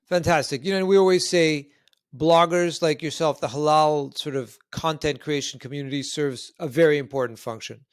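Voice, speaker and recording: A man's voice: on the high side, steady tone, moderate pace, smooth, dry recording, clean studio sound. A man hosting a podcast and interviewing in a conversational style. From Canada.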